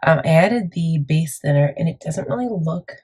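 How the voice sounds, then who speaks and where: Beauty vlogger, female, deep and husky, warm mellow tone, chatty and meandering. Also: lively intonation, moderate pace. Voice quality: gravelly, deep. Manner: chatty, rambling, many fillers. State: morning voice, a bit crackly. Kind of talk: casual makeup tutorial chat.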